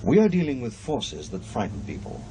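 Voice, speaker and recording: An actor playing a polite, earnest professor in a naturalistic radio drama, speaking in a measured, courteous and formal way. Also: male voice, medium pitch, wildly swooping pitch, dark tone, a little hiss or hum, poor audio. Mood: earnest explaining. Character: polite, earnest male professor investigating strange happenings.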